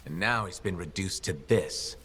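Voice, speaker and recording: An actor playing a confident, wisecracking freelancer hero in a video game, wry and assured. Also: male voice, deep voice, normal speed, fairly bright tone, slight background noise. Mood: challenging. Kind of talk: video game protagonist dialogue.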